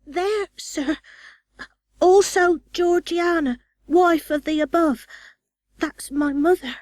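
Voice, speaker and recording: A woman's voice: very high voice, slow pace, a little mellow, no room sound. A woman voicing a frightened, polite little orphan boy in an audiobook, timid and pleading, with an English accent. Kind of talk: audiobook character acting.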